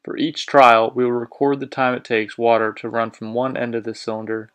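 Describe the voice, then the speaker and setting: Flat-voiced young student reading notes. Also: male voice, medium pitch, fairly quick. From Lexington, Kentucky. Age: young adult. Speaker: engineering student presenter. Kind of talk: scripted class presentation.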